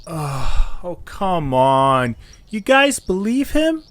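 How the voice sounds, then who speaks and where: A man's voice: high-pitched, very animated, drawn-out words, fairly bright tone, exaggerated voice. A man acting an earnest, encouraging young male character in a scripted audio story, speaking conversationally. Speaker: narrator and voice of the main character.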